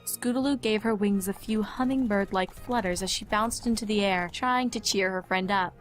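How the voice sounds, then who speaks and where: Woman narrating a story, medium pitch. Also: moderate pace, faint music behind.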